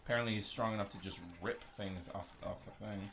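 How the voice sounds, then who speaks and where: A man with a creaky voice giving casual, joking video game commentary, talking to himself as he plays. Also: lowish voice, fairly even intonation, fast, noisy background.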